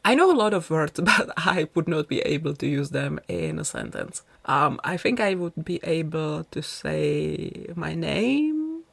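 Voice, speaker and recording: A woman from the chats casually in a voice-over. Her voice sits fairly low with a noticeable creak. The sound is dry, with no room around it, and she rambles along as a video creator talking to viewers. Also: sing-song, unhurried, hardly any pauses, dry recording. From Czech Republic. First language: Czech. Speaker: video creator narrating a game build.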